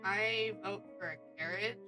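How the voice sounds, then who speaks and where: A woman with a bright, medium-pitched voice talks casually as a player in a roleplaying game. Faint music sits behind her. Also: a little slow.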